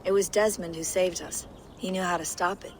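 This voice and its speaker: A woman's voice: fairly low pitch, fairly even intonation, a little fast, slight background noise, close to an everyday voice. Actress as firm, outspoken woman teammate. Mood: earnest. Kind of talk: naturalistic video game acting.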